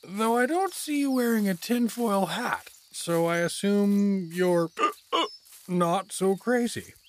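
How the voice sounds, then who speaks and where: A man's voice: high voice, slow. A man playing a drunk, good-natured, bumbling dad adventurer in an audio drama, rambling and stumbling over words. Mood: tipsy.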